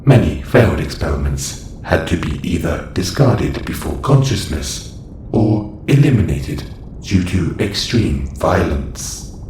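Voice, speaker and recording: A man's voice: low voice, darkish tone, full character voice. A man voicing a clinical, detached observation robot in an audio roleplay, speaking in a stilted, formal, matter-of-fact way. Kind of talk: scripted audio roleplay monologue. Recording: roomy echo with faint noise.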